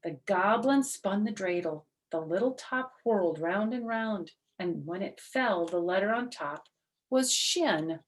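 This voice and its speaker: A woman narrating a children's story aloud in an expressive, dramatic and deliberate way. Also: medium pitch. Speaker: storyteller reading a picture book aloud.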